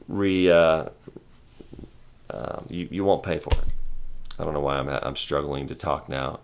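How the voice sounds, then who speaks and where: Low gravelly man chatting on a livestream. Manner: rambling, halting, repeats words, answers chat. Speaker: stock trading livestream host. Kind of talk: casual livestream chat.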